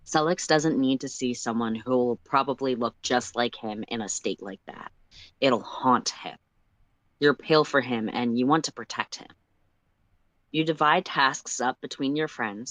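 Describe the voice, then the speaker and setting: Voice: medium pitch, a bit bright, no echo, low-quality recording. A person reading a story aloud as narrator, in second-person prose on a livestream.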